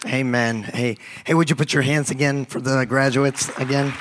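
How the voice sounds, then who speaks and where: A young man with a raspy voice, hoarse from a recent cold, preaches as a youth pastor. His pitch sits in the middle range, and he talks casually, stumbling and restarting. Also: steady tone, crowd noise, noisy background. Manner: casual, halting, with many restarts and fillers. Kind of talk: sermon.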